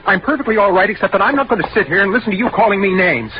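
Downtrodden male clerk turned defiant, agitated stammer, highish voice, vintage radio drama, muffled recording. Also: animated, racing, slight background noise. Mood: indignant, wound up. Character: meek long-suffering male office clerk turning defiant and unhinged. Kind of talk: 1940s radio thriller acting. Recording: dull old radio recording with music.

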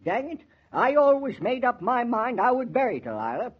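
A man's voice: very high voice, very animated, normal speed, husky, exaggerated voice. An actor playing a cantankerous, morbid man in his eighties with a rural American accent, folksy and grumbling in 1940s radio drama style. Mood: morose, stubborn. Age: 80s. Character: cantankerous, morbid old rustic man of eighty-six, stubborn neighbor. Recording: dull, lo-fi 1940s radio recording.

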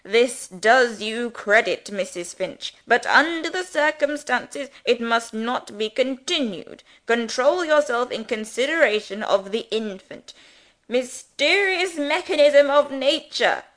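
A woman's voice: fairly high pitch, lively intonation, slow pace, fairly bright tone. A woman reading the part of a pompous, sermonizing male country rector who loves grand words. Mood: grandiloquent.

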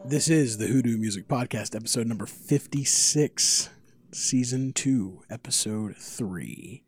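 A man's voice: medium pitch, a little slow, breathy edge. A man hosting a podcast, interviewing in a casual, conversational style. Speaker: podcast host.

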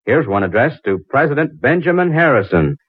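An actor playing an excitable, astonished man in a theatrical 1940s radio drama, speaking with urgent emphasis. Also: medium pitch, fairly quick, husky, no room sound. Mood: excited, amazed. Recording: muffled narrow-band 1940s radio recording.

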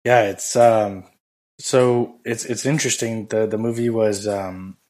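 Podcast co-host, male, hoarse and low, flat delivery, halting rambler. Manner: rambling, halting with many fillers. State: hoarse, losing his voice. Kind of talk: casual chat.

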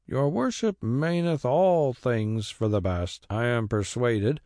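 A man's voice: medium pitch, vocal fry, a little mellow, no echo, clean studio sound, voice pushed up. A man voicing a stubborn old rustic carrier. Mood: aggrieved. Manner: broad rural dialect, slow and roundabout.

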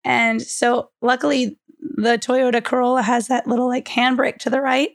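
Hoarse woman with a lost voice. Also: on the high side, level tone, normal speed, no room sound, clean studio sound. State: hoarse, losing her voice from a cold. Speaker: podcast co-host. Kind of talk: casual chat, storytelling.

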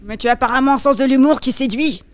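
A woman's voice: high-pitched, quick pace, lightly acted. A woman playing a proud, grumpy male dwarf warrior in an improvised fantasy sketch, sardonic and grumbling. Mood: dry, confident.

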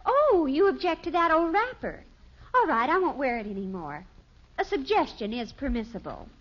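An actress playing a self-assured, stubborn teenage daughter in a 1950s radio sitcom, with an American accent and a prim, breezy, insistent delivery. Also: female voice, high-pitched, big pitch swings, moderate pace. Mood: breezily innocent. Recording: muffled old radio recording.

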